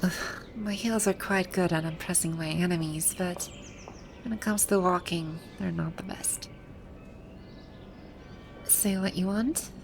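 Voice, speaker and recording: Woman acting a haughty, unhurried female villain boss. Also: on the low side, fairly even intonation, music in the background. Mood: musing, grouchy. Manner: imperious, formal, tsundere grumbling. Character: haughty, grouchy female video game villain boss, secretly soft. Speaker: audio roleplay voice actor. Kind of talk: ASMR roleplay acting.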